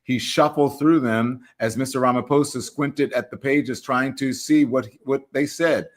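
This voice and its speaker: A male host of a live talk show reads news reports aloud at an even, measured pace. His voice sits at an ordinary pitch, and he sounds steady and matter-of-fact.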